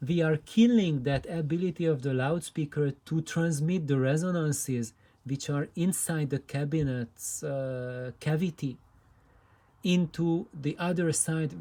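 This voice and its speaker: Slow, mellow-voiced man explaining. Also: a little high. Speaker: presenter of hobbyist audio videos. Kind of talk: unscripted explanatory demonstration.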